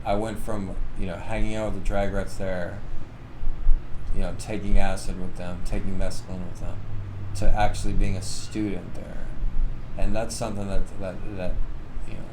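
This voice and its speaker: A man's voice: low-pitched, level tone, normal speed. A man hosting a livestream, talking in a casual, rambling, self-assured way. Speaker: livestream host and author. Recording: clearly noisy live stream.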